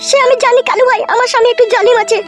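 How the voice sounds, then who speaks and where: Cartoon housewife, male performer: extremely high, squeaky, very rapid, plaintive gossip, over loud music. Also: steady tone. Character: shrill gossipy married woman, neighbourhood sister-in-law. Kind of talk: comedy cartoon dubbing.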